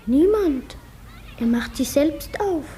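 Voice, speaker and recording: A woman's voice: high voice, animated, takes it slowly, mellow. A female performer playing a shy, earnest little schoolgirl in a German radio drama, with hesitant, childlike answers. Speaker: actor in a radio play.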